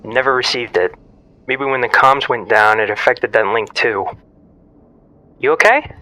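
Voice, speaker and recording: An actor voices a flippant crewmate guiding a partner over comms in a sci-fi audio drama. The voice is bright and forward, and the delivery is breezy, casual and teasing. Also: medium pitch, measured pace, rough recording. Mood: brisk.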